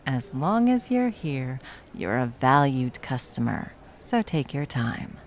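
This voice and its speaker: A woman's voice: a bass voice, sing-song, slow pace, slight background noise, full character voice. A woman playing a smug, nonchalant villain who works as a barista, dry and teasing in a comedic ASMR roleplay. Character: smug, deadpan young villain working as barista.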